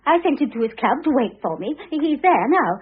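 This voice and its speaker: An actress playing an indignant, petulant younger British society wife with haughty, affected airs in an old-fashioned radio drama. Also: female voice, high-pitched, sing-song, brisk. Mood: indignant, huffy. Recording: thin phone-like vintage radio sound.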